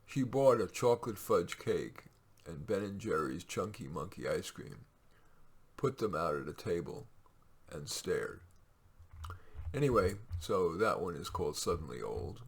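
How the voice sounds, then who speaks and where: Male story reader: very low, raspy, measured, expressive, informal author-reading feel. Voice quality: raspy, gravelly. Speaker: writer reading his own short stories. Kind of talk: storytelling read aloud with casual asides.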